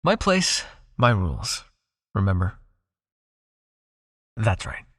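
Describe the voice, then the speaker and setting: A man playing a possessive, teasing vampire captor in an intimate ASMR hypnosis roleplay, speaking in a soft, coaxing, commanding way. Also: lowish voice, sing-song, slow pace, slightly rough, heavily acted.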